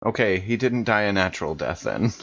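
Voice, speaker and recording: A deep-voiced man taking part in a tabletop game. He talks casually and informally, describing his character's moves as he thinks of them. The audio is a little dull and lo-fi. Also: big pitch swings, a little fast, gravelly, fairly bright tone. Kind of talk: casual in-character roleplay chat.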